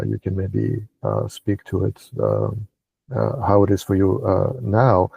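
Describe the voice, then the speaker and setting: Gravelly, dark-voiced Russian-born interviewer. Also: male voice, fairly low pitch, no room sound, rough recording. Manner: hesitant, many fillers. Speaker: interviewer, podcast host. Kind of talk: casual interview conversation.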